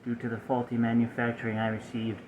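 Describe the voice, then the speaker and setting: A man voicing a stiff, aggrieved, malfunctioning robot in a soft-spoken ASMR roleplay, with formal, halting, over-precise phrasing. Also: medium pitch, monotone, noisy background, lightly acted.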